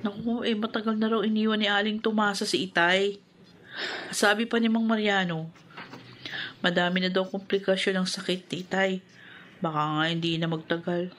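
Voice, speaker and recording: A woman's voice: mid-range voice, little pitch movement, moderate pace, fairly bright tone, slight background noise, close to an everyday voice. A woman playing a dutiful, hurt young adult daughter in a Filipino radio drama, polite and earnest.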